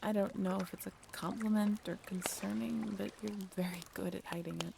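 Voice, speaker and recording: A woman playing a shy, earnest half-mermaid in an intimate audio roleplay, hesitant and soft-spoken, often slipping into breathy whispers. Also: mid-range voice, fairly even intonation, fairly quick, background noise. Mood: wistful, tender.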